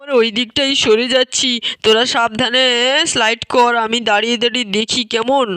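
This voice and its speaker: An eager, cheerful village father from a doll-play tale, acted out by a storyteller. He talks in a chatty, proud way and keeps seeking approval. The sound is dry and close, at a middling pitch. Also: little pitch movement, a little fast, sharp tone, clean tone, dry recording, close to an everyday voice. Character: Bhim, cheerful village dad showing off his homemade slide.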